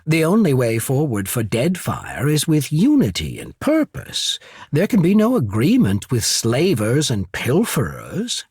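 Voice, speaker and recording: An actor playing a shrewd, courteous naval emissary in a fantasy video game, speaking in a measured, formal, persuasive way. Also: male voice, medium pitch, a little mellow, breathy edge, no room sound, clean studio sound, exaggerated voice. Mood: firm, persuasive. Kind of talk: scripted fantasy game dialogue.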